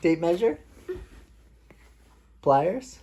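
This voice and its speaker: A man reading picture books aloud as a father at bedtime, playfully pausing to chat with his child. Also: fairly high pitch, sing-song, dark tone, breathy edge. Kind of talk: read-aloud picture book storytelling mixed with casual chat.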